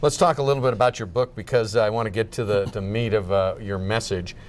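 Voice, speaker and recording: A man's voice: on the low side, fast, slight background noise. A man hosting a TV interview show, asking questions in a conversational way. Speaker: TV talk show host.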